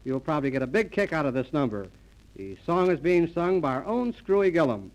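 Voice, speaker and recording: A man announcing scripted 1930s radio comedy in a deadpan, mock-formal manner, on an old transcription disc. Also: fairly high pitch, a little fast, dull audio.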